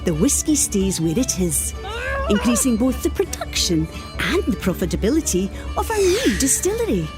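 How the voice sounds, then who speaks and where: A woman's voice: fairly high pitch, very animated, normal speed, over music. A woman playing a polished distillery presenter delivering a scripted promotional talk in an audio drama. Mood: polished, upbeat.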